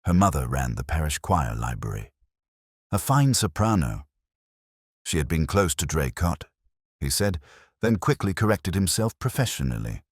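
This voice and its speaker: A man reading a scripted first-person detective story in a measured, literary, wry manner. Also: extremely low pitch, very animated, husky, dry recording, clean studio sound. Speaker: narrator.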